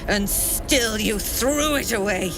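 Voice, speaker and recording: Woman as a slow, proud cult leader. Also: mid-range voice, lightly acted. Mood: solemn, unrepentant. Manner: grandiose, deliberate, taunting. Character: proud, bitter female cult leader and cruel mentor. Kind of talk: theatrical fantasy game acting. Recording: music playing behind the voice.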